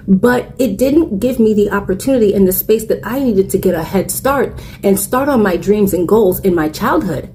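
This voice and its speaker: A woman's voice: mid-range voice, dark tone, a little hiss or hum. Candid woman in her forties. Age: 40s. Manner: candid, earnest storytelling. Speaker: content creator. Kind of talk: personal reflective talk to camera.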